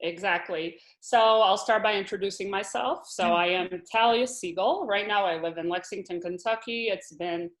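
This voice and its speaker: A woman in her thirties, a language teacher, speaks about her life in an easy, chatty way and laughs easily. Her voice sits at a middle pitch. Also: moderate pace, hardly any pauses. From Israel. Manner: chatty, laughs often, with restarts. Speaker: Hebrew teacher, guest. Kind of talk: casual conversational interview.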